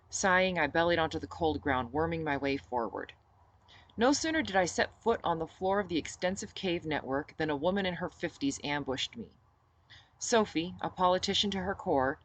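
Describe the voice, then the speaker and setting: A woman reading her own novel aloud as expressive first-person audiobook narration. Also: fairly low pitch, no room sound.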